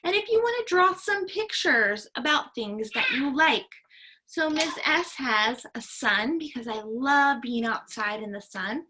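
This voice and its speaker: A woman teaching young children in a read-aloud lesson, encouraging them with sing-song, drawn-out key words and simple instructions. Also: sits high, animated, sharp tone. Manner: encouraging, praising young learners.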